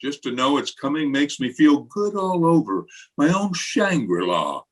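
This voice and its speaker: An actor playing a pompous, irritable middle-aged high school principal with grandiloquent, blustering delivery in a radio comedy. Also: male voice, big pitch swings, a little slow. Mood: grandly dreamy. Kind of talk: old-time radio comedy acting. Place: remote recording over video call.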